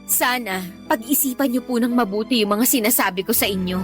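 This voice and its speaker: An actress playing an earnest young student pleading respectfully but firmly in a Tagalog radio drama. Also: female voice, a little high, fairly quick, soft background music, natural voice. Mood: hurt, firm. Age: young adult. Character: earnest young student girlfriend pleading with her boyfriend's mother.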